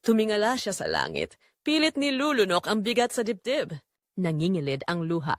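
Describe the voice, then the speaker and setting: A woman with a Filipino accent giving an expressive, measured, scripted narration of a dramatic story. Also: mid-range voice, dry recording, studio quality.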